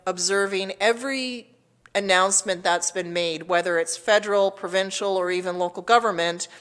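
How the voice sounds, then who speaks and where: A woman's voice: medium pitch, a bit bright. Canadian woman giving a formal official address. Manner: measured, official, reading out updates. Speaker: mayor. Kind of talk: formal scripted public address.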